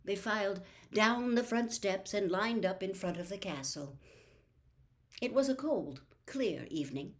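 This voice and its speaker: An older woman in her sixties or beyond reading a storybook aloud with expressive, dramatic phrasing. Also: mid-range voice, a little slow. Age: 60s or older. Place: small book-lined room at home.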